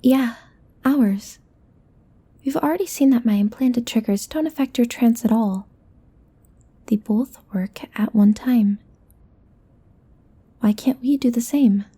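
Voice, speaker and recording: A woman's voice: a little high, slow, long pauses, mellow, slightly rough. A woman playing a possessive, teasing succubus demon in an ASMR roleplay, often dropping into a whisper. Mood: coaxing, seductive.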